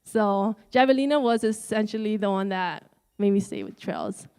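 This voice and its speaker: A woman's voice: medium pitch, moderate pace, darkish tone, clean tone. A woman runner, a guest in a live interview, telling her own story in a casual way. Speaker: guest, ultrarunner. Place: live event stage.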